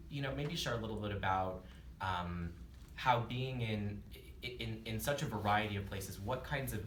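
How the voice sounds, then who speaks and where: A man interviewing a guest, asking quick, rambling questions with many restarts. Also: lowish voice, a bit bright, echoey room, recorded from a distance, a little hiss or hum. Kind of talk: casual interview.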